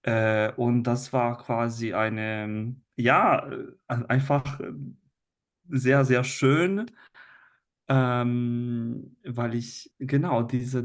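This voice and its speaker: A man from Italy in his thirties with an Italian accent, a teacher talking as a guest over a video call, hesitant with many fillers and restarts. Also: medium pitch. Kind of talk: conversational interview.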